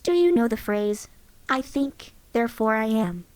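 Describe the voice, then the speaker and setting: A woman acting out a wry, philosophical companion from a game, higher-pitched and calm. The recording is dry and close, with no room sound. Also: measured pace, dry recording. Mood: wry musing. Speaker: voice actress for a game companion mod.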